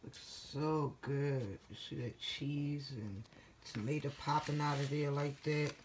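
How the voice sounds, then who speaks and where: A weary woman cooks in her home kitchen and talks the viewer through each step in a casual, chatty way. She keeps checking in with the audience as she goes. The sound comes from a phone held in her hand, with clear noise underneath. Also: low-pitched, measured pace. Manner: chatty, repeats "all right?" and "guys". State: tired after a long workday. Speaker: home cook vlogger. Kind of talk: casual cooking walkthrough.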